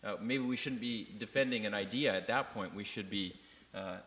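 A man leading a church class with a loud voice, talking loosely with many fillers and putting questions to the room. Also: fairly low pitch, normal speed, breathy edge, big-room sound. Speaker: class leader.

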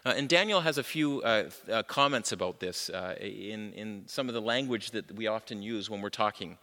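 Loud, bright-voiced man leading a class. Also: lowish voice, normal speed, long reverb tail. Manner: conversational, loose, many fillers, questions to the room. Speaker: class leader. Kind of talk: teaching a church class.

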